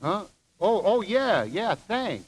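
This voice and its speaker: A man's voice: sits high, big pitch swings, takes it slowly, full character voice. An actor playing a mild, awkward ex-soldier handyman in an old-time radio comedy, hesitant, folksy and earnest. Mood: bashful, friendly.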